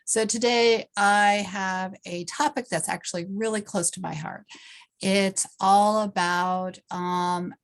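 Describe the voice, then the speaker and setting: A woman hosting an online talk show, speaking earnestly and enthusiastically, with frequent ums as she introduces her guest. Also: mid-range voice. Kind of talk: interview host.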